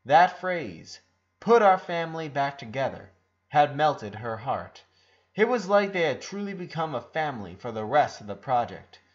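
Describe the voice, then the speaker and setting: A man reading a story aloud as a narrator, with expressive, emphatic delivery and dramatic stresses. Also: fairly high pitch, normal speed. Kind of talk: scripted narration, audiobook-style story reading. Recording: dull lo-fi recording.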